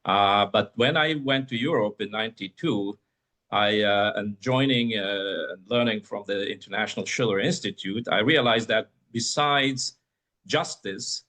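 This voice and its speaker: Halting man from Iraq, panelist. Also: fairly low pitch, a bit bright. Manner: halting, hesitant phrasing. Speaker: panelist, geopolitical strategist. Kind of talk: panel discussion.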